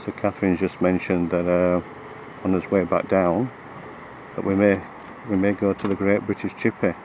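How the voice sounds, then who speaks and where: A man riding a motorcycle with a cold-roughened, croaky voice, chatting through the route with lots of ums and restarts. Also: deep voice, level tone, moderate pace, dull audio. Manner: hesitant, many fillers and restarts. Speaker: motorcycle vlogger. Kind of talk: rambling ride commentary. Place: on a motorcycle on the road. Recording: road and engine noise behind.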